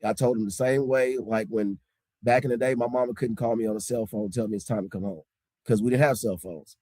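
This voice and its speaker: A man, a high school basketball coach, talking casually in an interview, emphatic and repeating points for stress. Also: steady tone, fairly quick, warm tone, dry recording. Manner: strict, old-school tone.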